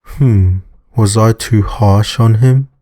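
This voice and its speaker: A man voicing an anxious adult male neighbour in a visual novel, speaking haltingly. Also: on the low side, mellow, no echo. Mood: self-doubting.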